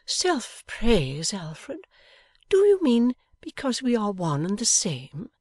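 A reader's airy, coquettish society wife, swooping high. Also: huge pitch swings, a little mellow, breathy edge, dry recording, studio quality, full character voice. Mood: playfully arch. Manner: coquettish, wheedling, drawn-out delivery.

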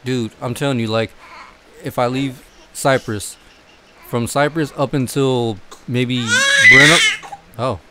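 A man's voice: mid-range voice, fairly even intonation, takes it slowly, bright, forceful tone, a little hiss or hum. A young man co-hosting a casual podcast chat, rambling and swearing freely. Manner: rambling, profane, laid-back. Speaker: podcast co-host. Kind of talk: casual chat among friends.

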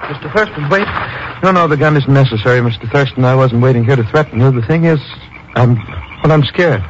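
An actor playing a bewildered, earnest army captain in a 1950s radio drama, with halting, hesitant delivery. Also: male voice, mid-range voice, natural voice. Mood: scared, confused. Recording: muffled, noisy old radio recording.

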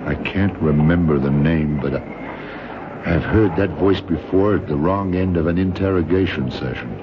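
An actor playing a tough, suspicious American federal investigator in a radio drama, with dry wisecracking delivery. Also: male voice, low voice, normal speed, background noise, close to an everyday voice. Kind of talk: 1960s-70s radio drama acting. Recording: dull vintage radio recording, music behind.

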